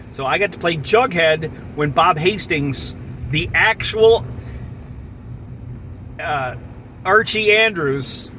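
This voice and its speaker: A middle-aged man casually sharing personal memories in a reflective, halting way, in short phrases. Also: a little high, pushed and bright, a little hiss or hum. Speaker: storyteller, amateur voice actor.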